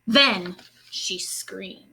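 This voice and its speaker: A woman reading a children's story aloud expressively, pausing in a teacherly way to ask questions and explain to young listeners. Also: medium pitch, vocal fry, bright, forceful tone, low-quality recording. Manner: instructive, pauses to ask and explain.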